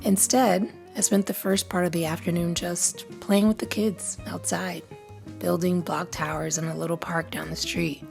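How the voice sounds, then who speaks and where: Brief: female travel vlogger, low and a little gravelly, chatty, guitar music behind. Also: level tone, normal speed, darkish tone. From Cuban family, grew up in the US. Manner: chatty, laughs easily. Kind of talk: casual vlog chat and voice-over narration.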